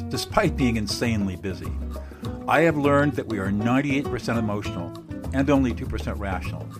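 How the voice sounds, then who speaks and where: A man hosting a leadership interview podcast, speaking slowly and deliberately as he introduces his guest. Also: medium pitch. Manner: slow, deliberate spelling out. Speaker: podcast host.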